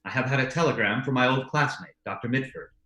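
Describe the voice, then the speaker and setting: Man acting a forceful, grim radio-drama doctor. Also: mid-range voice, fast, reverberant, close to an everyday voice. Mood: curt, evasive. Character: grim, obsessive male doctor, coldly secretive.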